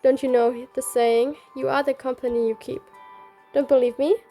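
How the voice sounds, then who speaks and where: A woman's voice: high-pitched, flat delivery, moderate pace, dark tone. A woman reading a game story aloud, both the narration and the dialogue. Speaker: reader. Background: faint music.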